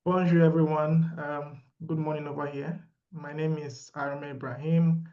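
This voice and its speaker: A man's voice: on the high side, flat delivery, moderate pace. A man from Nigeria, speaking upbeat on a panel and tagging his points with "right?". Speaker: program graduate. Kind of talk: panel discussion. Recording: dull lo-fi audio.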